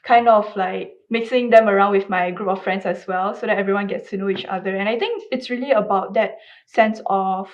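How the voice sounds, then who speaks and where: A woman's voice: medium pitch, steady tone, brisk. A young woman, a panel guest casually sharing personal memories. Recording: slightly dull, muffled sound.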